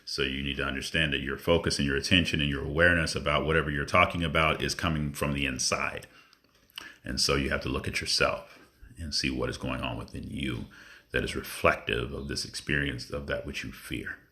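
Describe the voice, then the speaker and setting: Very deep-voiced man, earnest spiritual monologue. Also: fairly even intonation, sharp tone. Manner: earnest, reflective, with drawn-out pauses. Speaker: spiritual vlogger.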